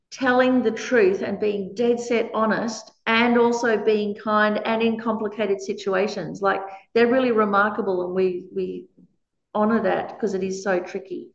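Aussie woman giving a dharma talk, big loud voice, laughs a lot, roomy echoey room, kinda dull sound. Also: mid-range voice, vocal fry. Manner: frank, laughs often between points. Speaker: Buddhist teacher. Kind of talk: dharma talk answering questions. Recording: roomy, slightly dull recording.

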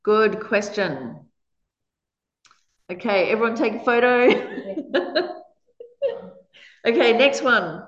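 A woman with a loud voice, giving a frank Buddhist dharma talk to an audience and laughing often between points. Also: fairly high pitch, huge pitch swings, slow, pauses often. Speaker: Buddhist teacher. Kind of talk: dharma talk answering questions. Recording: roomy, slightly dull recording.

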